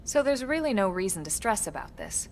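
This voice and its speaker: An actress playing a composed, capable military team leader in a video game, with a measured, assured delivery. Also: female voice, mid-range voice, big pitch swings, slight background noise. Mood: reassuring, explanatory. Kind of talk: video game cutscene acting.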